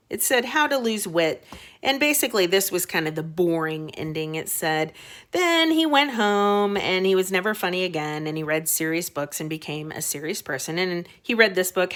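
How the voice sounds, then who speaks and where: A woman's voice: fairly low pitch, a bit bright. A woman elementary teacher explaining a story to young children in simple, conversational words, stopping for short check-in questions. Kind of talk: read-aloud reading lesson for young children.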